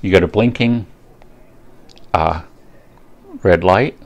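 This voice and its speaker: A man giving a casual, unscripted product review in a rambling way, pausing often to think. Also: deep voice.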